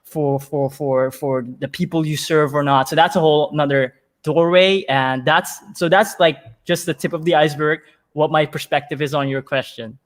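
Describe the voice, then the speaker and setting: A man's voice: on the high side, fairly even intonation, moderate pace. A man answering as an interview guest in a conversational, storytelling way, emphatic and often repeating words as thoughts form.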